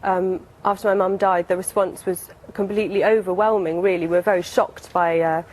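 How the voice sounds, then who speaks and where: A British woman in her twenties chatting casually as an interview guest, softening what she says as she goes. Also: fairly low pitch, fairly even intonation, brisk. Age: 20s.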